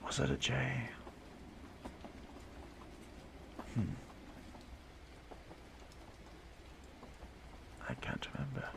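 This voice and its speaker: A man playing an ancient immortal stalker in an audio roleplay, softly menacing yet courteous and wistful, musing haltingly in a gravelly murmur that often drops to a whisper. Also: close to an everyday voice. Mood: nostalgic, musing. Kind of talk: slow intimate audio roleplay monologue.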